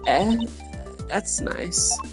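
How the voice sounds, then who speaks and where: A woman's voice: on the low side, lively intonation, extremely slow, over music. A woman voicing an airy, cheerfully oblivious young woman in a video game, speaking in a casual, breezy way. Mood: breezy, puzzled.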